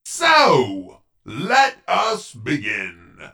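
A man's voice: a little high, huge pitch swings, drawn-out words, bright, forceful tone, recorded from a distance. A man hosting as a podcast announcer in a pompous, theatrical, scripted comedy style. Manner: pompous, bombastic, exasperated showman delivery.

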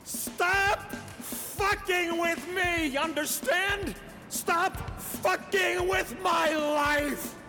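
A man acting as a desperate, manic businessman in an audio drama, yelling at the universe in a frantic, strained voice. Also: extremely high pitch, very slow, over music, full character voice. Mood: enraged screaming.

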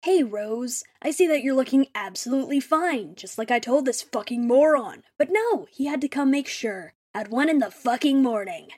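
A woman playing a grumpy, soft-hearted young man with a gravelly edge in a fan fiction audio drama. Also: sits high, expressive, moderate pace, few pauses. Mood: irritated.

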